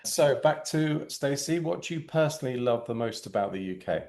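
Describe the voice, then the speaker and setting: A man's voice: medium pitch, lively intonation, normal speed, gravelly, a little mellow. A man hosting a podcast interview, reading scripted intros in a polished manner and handing over to guests. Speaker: podcast host.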